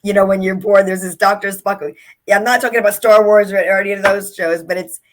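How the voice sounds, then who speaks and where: A woman's voice: medium pitch, fairly even intonation, fast talker, a little hiss or hum, rough recording. A middle-aged woman, an author and speaker, telling her story as an interview guest in an earnest, reflective way.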